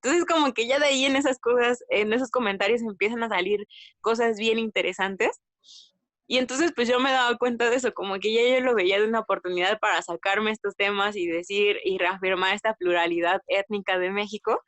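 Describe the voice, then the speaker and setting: A woman from Mexico with a Mexican accent, chatting casually as a podcast guest, retelling anecdotes in an animated way with slang and quoted dialogue. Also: medium pitch, fairly quick, no echo. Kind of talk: casual conversational storytelling.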